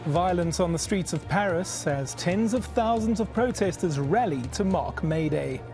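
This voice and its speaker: A man presenting broadcast news as an anchor, in a formal, measured delivery. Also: high voice, animated, music in the background.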